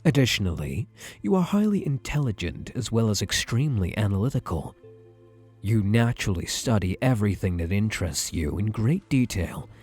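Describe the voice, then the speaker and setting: A man acting as a possessive male psychiatrist in an ASMR roleplay, with a low, husky voice. He speaks in measured, soothing clinical phrases with a widely moving melody, sounding quietly self-assured. Faint music plays underneath. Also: very animated. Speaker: roleplay voice actor. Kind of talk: ASMR roleplay, scripted monologue to the listener.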